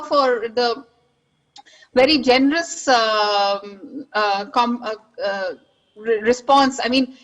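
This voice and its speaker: A woman's voice: fairly high pitch, fairly bright tone, rough recording. A woman moderating a festival panel, asking polite, rambling questions and rephrasing them as she goes.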